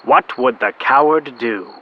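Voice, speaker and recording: A man's voice: medium pitch, unhurried, phone-quality sound, natural voice. A man acting an anxious young radio narrator and peacemaker in an audio drama, earnest and dramatic. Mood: dramatic, narrating.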